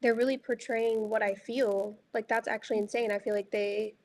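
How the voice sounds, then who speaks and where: A young woman from Dallas with a light, high voice, a music artist talking reflectively about her songs and filling her speech with casual fillers. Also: fairly even intonation, moderate pace, darkish tone, a little hiss or hum. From Dallas, Texas. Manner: reflective, heavy use of filler words. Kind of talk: casual interview chat.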